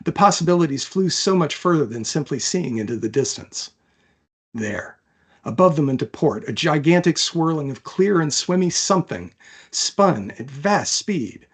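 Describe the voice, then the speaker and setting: A man's voice: on the high side, expressive, normal speed, slightly rough. A man reading his own fantasy novel aloud as dramatic, scripted narration.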